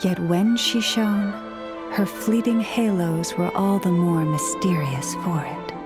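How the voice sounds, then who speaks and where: Slow, serene moon priestess. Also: female voice, lowish voice, over loud music. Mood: solemn, reverent. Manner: measured, solemn, formal. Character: serene, modest young priestess of a moon goddess. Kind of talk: video game storytelling dialogue.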